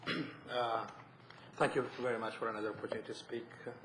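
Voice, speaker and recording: A man from Ethiopia with an Ethiopian accent, giving an earnest, halting personal statement at a public meeting. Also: mid-range voice, little pitch movement, a bit bright. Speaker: resident applying for committee. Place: indoor council meeting room. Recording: echoey meeting room, faint noise.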